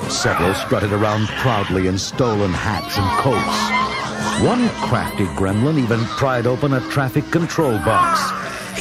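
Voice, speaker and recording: A man narrating a children's read-along adventure in a dramatic, theatrical scripted style. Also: mid-range voice. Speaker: story narrator. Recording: loud music and effects behind narration.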